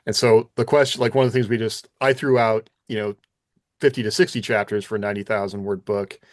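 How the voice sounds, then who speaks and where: Male podcast host, lowish register, conversational questioner, dry recording. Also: steady tone, quick pace, dry recording. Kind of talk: casual discussion and interviewing.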